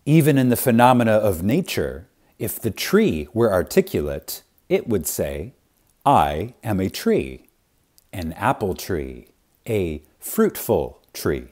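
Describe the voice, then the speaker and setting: Male audiobook narrator, somewhat low voice, very slow delivery, reverent, emphatic, dramatic pauses. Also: very animated, a little mellow. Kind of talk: scripted audiobook reading of a spiritual text.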